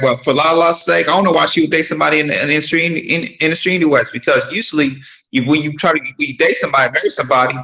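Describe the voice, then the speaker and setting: Male panelist, bright forceful voice at middle pitch, halting casual delivery with repeated words, roomy echo. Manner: halting, repeats words while searching for phrasing. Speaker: podcast panelist.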